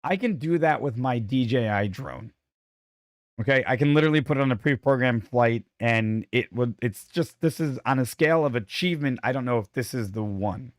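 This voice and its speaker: A man's voice: mid-range voice, normal speed, dry recording, studio quality. Chatty man losing his voice. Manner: opinionated, chatty, self-deprecating. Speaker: podcast co-host. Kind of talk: casual chat.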